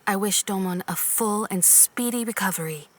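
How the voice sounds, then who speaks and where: A woman playing a composed, courteous shrine maiden in a video game, speaking formally and deliberately. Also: mid-range voice, natural voice.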